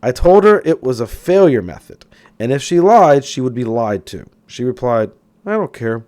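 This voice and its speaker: A man reading a self-help book aloud as an audiobook narrator, with expressive, emphatic delivery. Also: medium pitch, moderate pace. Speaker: audiobook reader.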